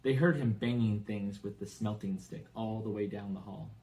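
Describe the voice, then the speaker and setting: A man reading a children's novel aloud as expressive scripted narration. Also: lowish voice, moderate pace, creaky, poor audio. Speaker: audiobook reader for English learners.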